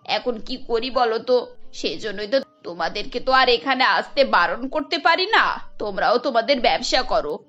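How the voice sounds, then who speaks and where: A woman's voice: fairly high pitch, lively intonation, normal speed, bright, forceful tone, voice pushed down. A woman voicing a scheming older mother-in-law in a cartoon, wheedling and complaining dramatically. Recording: dull audio over background music.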